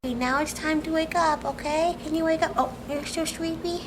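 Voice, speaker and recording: A woman talking baby talk to her pet dog, cooing and singsong with drawn-out words. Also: high-pitched, steady tone, background noise.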